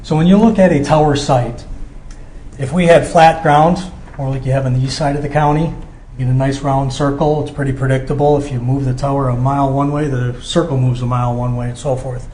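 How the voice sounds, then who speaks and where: Mellow American man presenting, echoey room. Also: mid-range voice, fairly even intonation, fairly quick. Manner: explanatory, checks in with "okay". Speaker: company representative presenting. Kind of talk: explanatory technical presentation. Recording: echoey room with background noise.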